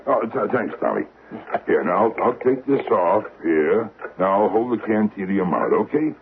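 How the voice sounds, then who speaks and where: A thin, hissy old radio recording carries a polite burglar boss. He rambles genially in a mid-pitched, very lively voice, practical and apologetic with rough grammar. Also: moderate pace. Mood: mock-polite. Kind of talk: old-time radio acting. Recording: narrow-band, hissy old radio broadcast.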